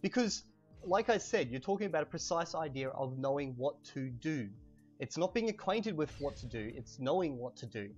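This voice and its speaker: A man in his thirties with an Australian accent, chatting through a language lesson while playing a game, slowing down on key words, on a cheap desk mic. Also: on the high side, lo-fi sound, soft background music. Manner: chatty, animated, drawn-out slow spelling of words. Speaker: language teacher and gamer. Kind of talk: casual lesson over gameplay. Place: home room, webcam. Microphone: cheap desk microphone.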